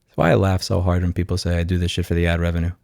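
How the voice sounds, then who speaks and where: A man's voice: low-pitched, little pitch movement, racing, creaky, a little mellow, dry recording, studio quality. A man speaking off the cuff as a video host, blunt and sardonic, in an informal advocate's monologue. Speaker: YouTube host and consumer rights advocate. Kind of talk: unscripted vlog monologue, screen walkthrough.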